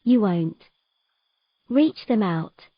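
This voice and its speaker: Woman playing a calm mermaid, closely dry sound. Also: medium pitch, drawn-out words, no room sound, dull audio. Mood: calm instructing. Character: calm, frank, teasing female mermaid in mythical fantasy. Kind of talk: audio drama acting.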